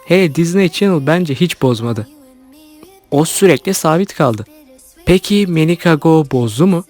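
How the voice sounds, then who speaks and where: A man's voice: on the high side, lively intonation, moderate pace, a little mellow. A man hosting an online video, delivering scripted commentary as narration. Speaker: YouTube host commenting on cartoons. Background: background music.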